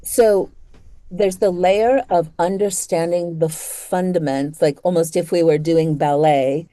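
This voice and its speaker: Female interview host, lowish and very mellow, thoughtful and conversational, working her way into questions phrase by phrase. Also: fairly even intonation, measured pace, no room sound.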